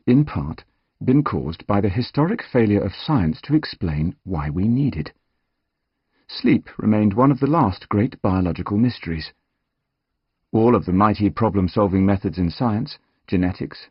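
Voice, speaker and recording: A man reading scripted nonfiction as an audiobook narrator, measured and articulate, with dramatic emphasis. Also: on the low side, slightly rough, no echo, lo-fi sound.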